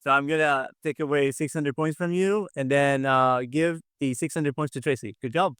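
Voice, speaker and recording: A man's voice: fairly high pitch, normal speed, fairly bright tone, clear, resonant tone, no room sound, clean studio sound. A man casually hosting a quiz game on a video call, encouraging and often repeating himself. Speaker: quiz show host.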